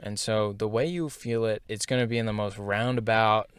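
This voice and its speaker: A man's voice: fairly low pitch, fairly even intonation, moderate pace, clean tone, no room sound. A young man telling his own story in a casual, candid monologue, with slang and filler words. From half Japanese, schooled in Singapore.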